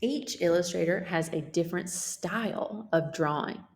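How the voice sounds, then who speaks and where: A woman teacher reading a children's picture book aloud in a deliberate, expressive way. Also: on the low side.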